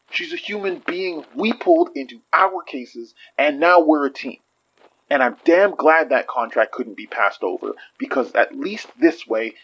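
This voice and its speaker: A man's voice: fairly high pitch, a bit bright, breathy edge, rough recording. A man playing a stubborn, protective detective in a horror audio drama, arguing emphatically and with exasperation. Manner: emphatic, argumentative.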